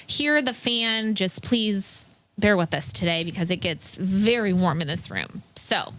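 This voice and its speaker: A woman hosting a podcast interview, enthusiastic and exclamatory with quick reactions. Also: mid-range voice, lively intonation, a bit bright. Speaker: podcast host. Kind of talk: casual interview conversation. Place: warm room with fans running.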